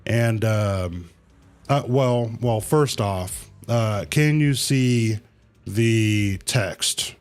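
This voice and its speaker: A man hosting a livestream, chatting casually with viewers in a halting way, thinking aloud and repeating his phrases. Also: lowish voice, clear, resonant tone. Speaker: livestream host. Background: music.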